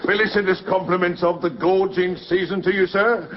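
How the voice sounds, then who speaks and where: A man's voice: sits high, steady tone, normal speed, muffled recording, noisy background, lightly acted. An actor playing a jolly, greedy orphanage keeper who fawns over his host. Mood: hearty. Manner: hearty, fawning. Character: jolly fat man running an orphanage, greedy.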